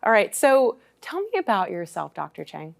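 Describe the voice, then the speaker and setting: A young woman hosting a studio interview, her voice at an ordinary middle pitch. She keeps things upbeat with quick encouraging reactions and easy laughter, moving smoothly from one prompt to the next. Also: big pitch swings. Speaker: host. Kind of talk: studio talk show interview.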